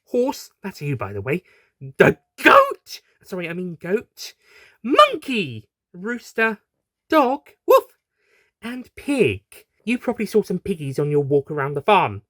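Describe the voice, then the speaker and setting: Bubbly, slangy young alien heroine for an audio story, played by a man, high-pitched and swooping, cheeky and sarcastic. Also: wildly swooping pitch, slow pace, pushed and bright, dry recording, clean studio sound, heavily acted. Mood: chirpy. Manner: bubbly, rambling, slangy and teasing. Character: hyperactive, cheerful, sarcastic young female time-travelling alien.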